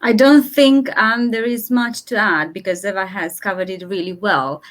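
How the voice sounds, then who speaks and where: A woman's voice: medium pitch, steady tone. Romanian-native woman on a video call. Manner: friendly, measured, some fillers. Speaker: translation agency owner, guest. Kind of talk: casual panel discussion on a call.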